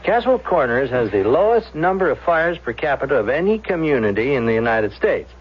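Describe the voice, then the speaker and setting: An actor playing a polished, folksy local TV interview host in a radio drama, with a slightly husky voice. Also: male voice, medium pitch, very animated, a little fast. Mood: chipper. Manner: presenterly, folksy showmanship. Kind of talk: 1970s radio drama acting. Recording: muffled vintage radio broadcast.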